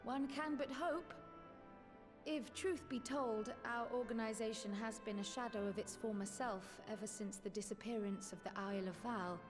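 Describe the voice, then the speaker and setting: A woman voicing an earnest, resolute young female scholar in a fantasy video game, speaking in a formal, measured, articulate way. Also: on the high side, music in the background, lightly acted.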